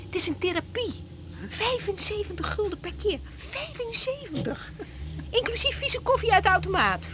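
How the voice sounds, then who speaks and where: A woman's voice: extremely high pitch, big pitch swings, moderate pace. An actress playing a frank young woman doctor in a naturalistic Dutch radio drama, hesitant and informal in her speech. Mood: animated, confiding. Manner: hesitant, repeating words, informal. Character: young woman doctor, frank, caring but easily thrown.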